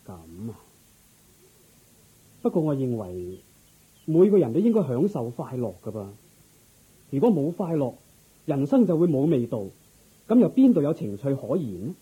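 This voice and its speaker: A man playing a dignified, courteous and curious king in a radio drama, with stately, expressive theatrical delivery. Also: mid-range voice, moderate pace, pauses often, breathy edge, low-quality recording. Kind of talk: Cantonese Buddhist radio drama acting.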